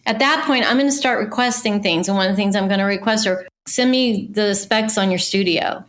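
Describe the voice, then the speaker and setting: A woman talking casually about her industry in an animated, conversational way. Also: medium pitch, a little fast. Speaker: voice casting professional.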